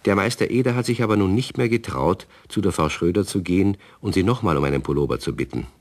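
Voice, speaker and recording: An older man narrating a children's radio play in a calm storytelling manner. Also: deep voice, fast talker.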